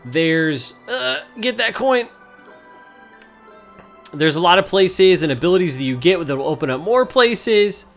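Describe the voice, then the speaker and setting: A man's voice: high voice, animated, a little slow. A man giving casual, conversational gameplay commentary as the host of a video game series. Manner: informal, conversational. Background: game music.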